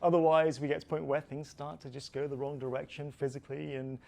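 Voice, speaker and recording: A man in his fifties, a personal trainer explaining fitness in an energetic, motivational way. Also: a little high, fast, vocal fry. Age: 50s. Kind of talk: expert explaining.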